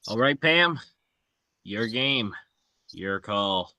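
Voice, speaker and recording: Bright, tense man acting in a thriller. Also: fairly low pitch, animated, very slow, dry recording, full character voice. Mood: wary, reserved. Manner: terse, clipped. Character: tense, clipped male thriller agents and officials. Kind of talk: script table read.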